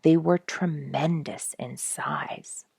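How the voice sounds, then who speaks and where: A woman reading a nature storybook aloud in an expressive, measured, dramatic storytelling manner. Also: low-pitched, vocal fry, breathy edge, dry recording, clean studio sound. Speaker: audiobook reader and show host.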